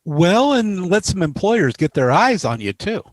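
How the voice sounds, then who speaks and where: A man with a fairly high voice hosts a relaxed interview over a video call. He is jokey and encouraging, and he teases his guests. His sound is close and dry. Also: measured pace, dry recording, poor audio. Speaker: interviewer host.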